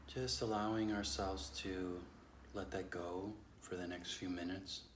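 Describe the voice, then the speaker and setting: A man leading a guided meditation in a measured, unhurried way with long pauses between phrases. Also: low-pitched, steady tone, roomy sound, a little hiss or hum. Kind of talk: guided meditation, slow instruction.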